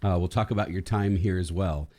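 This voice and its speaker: A man hosting a conversational radio interview, talking in a halting way as he thinks aloud. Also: low voice, fairly even intonation, warm tone. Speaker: radio show host interviewing a former student.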